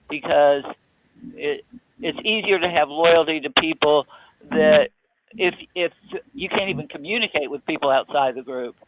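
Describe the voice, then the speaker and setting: A man talking slowly in a casual discussion, pausing often to search for his words. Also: fairly high pitch. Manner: slow, halting, searching for words. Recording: tinny phone line, background noise.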